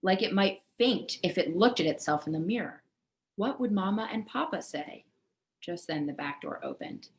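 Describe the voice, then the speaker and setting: A woman reading a children's picture book aloud with expressive storybook narration. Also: fairly low pitch, normal speed. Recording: echoey room.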